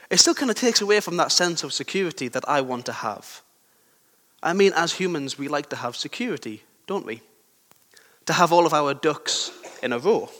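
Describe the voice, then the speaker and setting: A young man with a sore throat and a slightly croaky voice, giving a conversational sermon with personal stories and questions to his listeners. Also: fairly high pitch, a bit bright. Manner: conversational, self-deprecating, asks the listeners questions. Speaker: preacher. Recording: long hall reverb.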